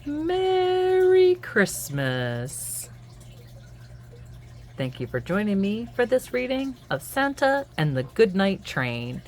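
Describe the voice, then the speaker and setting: A woman reading a children's picture book aloud in an expressive, singsong storytelling manner. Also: fairly high pitch. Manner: animated, singsong, deliberate storytelling. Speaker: children's book reader and host.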